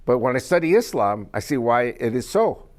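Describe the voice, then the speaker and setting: A man's voice: medium pitch, sing-song, fairly quick. An older man with Spanish as his first language, giving an informal, rambling talk to a small group as guest speaker and joking as he goes. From United States.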